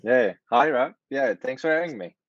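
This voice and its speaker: A man's voice: medium pitch, expressive, normal speed, no room sound. A man, giving casual interview answers as a student over video call. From Turkey. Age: 20s. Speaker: student guest. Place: indoor room, video call. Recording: dull video-call audio.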